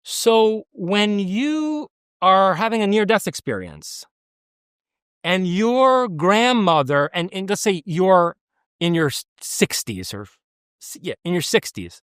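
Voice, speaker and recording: A man's voice: sits high, very animated, takes it slowly, a bit bright, clear, resonant tone, no echo, clean studio sound. A man from the United States speaking as an interview guest, earnest and emphatic, with frequent restarts. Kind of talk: conversational interview.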